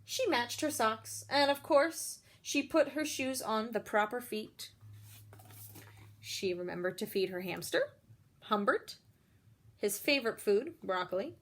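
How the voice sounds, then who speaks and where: Female storyteller, high voice, expressive children's read-aloud with explanatory asides. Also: measured pace. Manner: expressive, explains feelings and puts questions to children. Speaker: storyteller reading a picture book to children.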